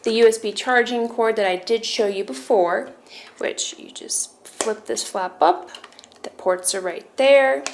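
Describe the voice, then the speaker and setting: A woman with a fairly high voice gives a casual, hands-on product walkthrough. She explains each step plainly as a review presenter. There is noticeable background noise in the recording. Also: unhurried, gravelly.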